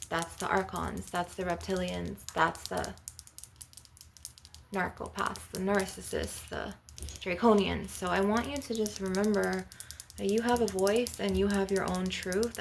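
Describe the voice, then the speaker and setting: A woman's voice: fairly low pitch, creaky, slight background noise. A woman hosting an ASMR spiritual healing session, speaking to the viewer in an earnest, affirming, instructive way. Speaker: spiritual healer and channel host.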